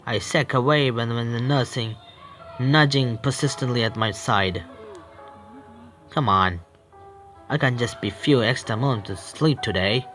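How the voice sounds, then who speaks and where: Stumbling gamer reading a visual novel. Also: male voice, medium pitch, fairly bright tone, soft background music. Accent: Indian English. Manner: halting, stumbling read with restarts. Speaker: gaming streamer.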